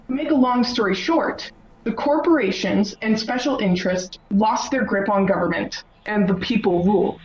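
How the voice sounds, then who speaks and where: A woman's voice: a little high, roomy sound, dull audio, a little hiss or hum. A woman playing a frank, optimistic doctor in a sci-fi radio play, explaining things.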